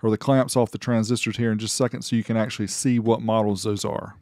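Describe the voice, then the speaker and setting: A man's voice: fairly low pitch, flat delivery, quick pace, over loud music. A man giving a casual, informal product review voice-over as the host, with a folksy way of talking. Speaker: host reviewing car audio amplifiers.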